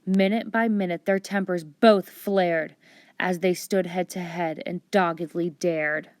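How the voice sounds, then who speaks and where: A woman reading a storybook aloud with expressive children's narration. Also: on the low side, measured pace, dry recording, studio quality. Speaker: storybook reader.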